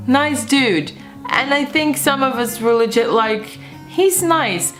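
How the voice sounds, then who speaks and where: Woman from Belgium, 30s, mid-pitched, rambling vlog chat with fillers and laughs, teary, faint guitar behind. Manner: chatty, rambling, laughs often, many fillers. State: close to tears. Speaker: vlogger. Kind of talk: casual vlog recap.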